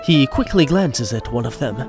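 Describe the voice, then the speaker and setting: A man's voice: mid-range voice, animated, normal speed. A man narrating a scripted comic audio drama in a wry storytelling manner. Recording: music behind the voice.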